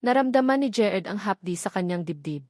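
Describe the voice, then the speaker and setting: A woman's voice: mid-range voice, animated, a little fast, darkish tone, no room sound, studio quality. A woman reading a scripted romantic drama as a story narrator.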